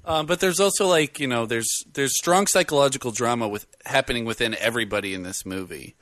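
A man in casual chat, reviewing a movie with a loud voice, rambling and full of fillers. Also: mid-range voice, a little fast, dry recording. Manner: rambling, animated storyteller with many fillers. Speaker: podcast co-host reviewing a film.

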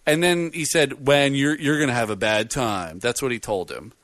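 A man in casual chat, reviewing a movie with a loud voice, rambling and full of fillers. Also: mid-range voice, moderate pace, no room sound. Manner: rambling, animated storyteller with many fillers. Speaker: podcast co-host reviewing a film.

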